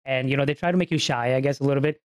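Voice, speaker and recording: Guy hosting a podcast, mid pitch voice, reads the intro then chats easy with his guest. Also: fairly even intonation, rapid-fire. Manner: reads a scripted intro, then asks friendly conversational questions. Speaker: podcast host. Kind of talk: interview podcast chat.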